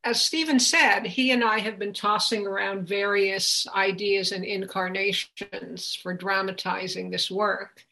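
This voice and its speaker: A woman's voice: mid-range voice, steady tone, measured pace, vocal fry, hardly any pauses, pushed and bright, poor audio. An older woman talking conversationally as a guest in an interview. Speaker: translator, guest.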